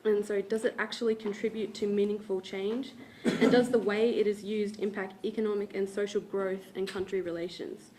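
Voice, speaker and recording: A woman's voice: mid-range voice, level tone, normal speed, a little mellow, slight background noise, rough recording. A woman moderating a university panel event, welcoming the audience and introducing the speakers in a friendly way, stumbling over a word now and then. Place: university lecture room.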